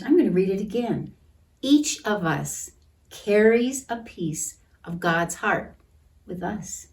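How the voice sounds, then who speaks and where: A woman reading a picture book aloud to children in a gentle, deliberate manner, pausing to comment and ask questions. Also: on the low side. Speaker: children's storytime reader at a church. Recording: distant microphone.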